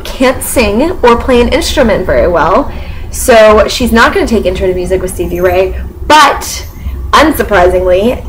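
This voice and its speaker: Young woman, casual book reviewer with a mostly neutral Midwestern accent, sarcastic and rambling, mid-pitched voice, slight noise in the recording. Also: rough recording. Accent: mostly neutral American, sometimes Southern. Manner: sarcastic, laughs often, many fillers.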